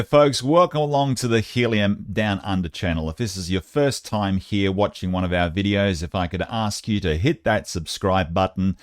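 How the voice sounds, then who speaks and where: Deep-voiced Australian man presenting. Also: no echo, studio quality. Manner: conversational, addresses viewers directly. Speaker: YouTube channel host and presenter. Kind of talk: informal explanatory video presentation.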